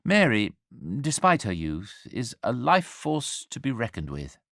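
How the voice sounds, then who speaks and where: An actor playing an angel who narrates a radio drama in a measured, wry and confiding way, speaking directly to the listener as a compassionate storyteller. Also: male voice, mid-range voice, huge pitch swings, vocal fry, a bit bright, no room sound, clean studio sound, full character voice. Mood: tender, reflective.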